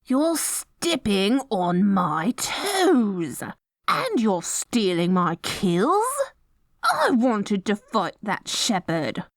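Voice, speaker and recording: A woman's voice: medium pitch, very animated, extremely slow, no echo, clean studio sound, exaggerated voice. Woman playing a swooping, theatrical dorky evil queen. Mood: indignant. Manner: melodramatic, gleefully scheming, indignant outbursts. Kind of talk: scripted ASMR audio roleplay.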